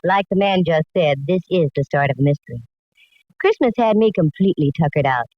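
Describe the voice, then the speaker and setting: A woman's voice: sits low, animated, a little fast, dry recording. A breezy, wry young woman detective in a 1940s radio mystery. Her talk is slangy and knowing, caught close and dry on a slightly dull vintage recording. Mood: wry, confiding. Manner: slangy, wry first-person storytelling.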